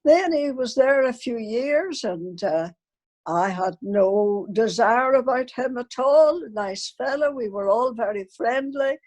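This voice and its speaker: An elderly grandmother with a fairly high voice tells unscripted stories from her life to her family. The woman recounts them as anecdotes, speaking the remembered dialogue as she goes. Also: animated, slow pace, a little mellow. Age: older, elderly grandmother. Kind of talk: unscripted personal storytelling to family.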